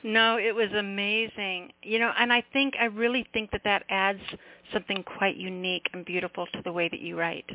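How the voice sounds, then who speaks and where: A woman's voice: mid-range voice, fairly even intonation, fairly quick. A woman hosting an open mic call-in radio show, encouraging and effusive with callers. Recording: tinny phone line.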